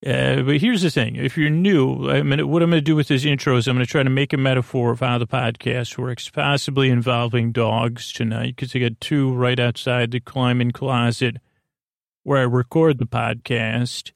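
A man hosting a sleep podcast in a creaky voice, lulling and soothing, meandering off into tangents. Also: mid-range voice, steady tone, normal speed, dry recording, clean studio sound. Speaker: podcast host. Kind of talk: rambling bedtime sleep-podcast monologue. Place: small closet.

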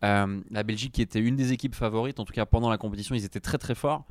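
Low-voiced playful male interviewer. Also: steady tone, fast, no echo. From Switzerland. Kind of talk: comic mock interview.

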